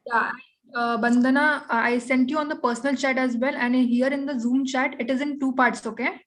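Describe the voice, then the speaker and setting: A woman's voice: sits high, fairly even intonation, fairly quick, fairly bright tone. A woman with an Indian accent hosting an online meeting in casual, chatty talk, often checking with okay and right. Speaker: meeting host. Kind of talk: casual organising chat. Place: online video call.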